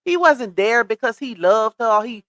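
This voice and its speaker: A woman's voice: a little high, lively intonation, normal speed, fairly bright tone, no room sound, studio quality. Chatty woman hosting a gossip live stream. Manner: chatty, reads out comments and greets viewers. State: losing her voice.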